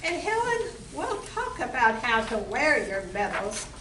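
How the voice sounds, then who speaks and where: A woman playing a flirty, breezy barmaid and singer in an amateur comedy play, delivered deliberately. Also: on the high side, very animated. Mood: playful, eager. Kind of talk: amateur stage comedy radio play acting. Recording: echoey room from far off, background noise.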